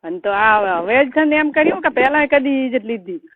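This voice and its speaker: A woman's voice: high-pitched, animated, fairly quick, crowd noise. A woman with a Marwari accent chatting casually on a private phone call in a blunt, gossipy way. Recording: tinny, narrow phone-call recording.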